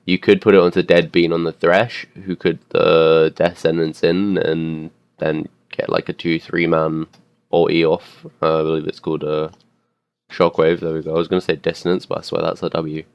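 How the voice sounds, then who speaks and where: A young man commentating a custom game he also plays in, his voice a bit hoarse, rambling and correcting himself as he goes. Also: sits low, little pitch movement, moderate pace, no echo, lo-fi sound. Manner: rambling, unsure, self-correcting, filler-heavy.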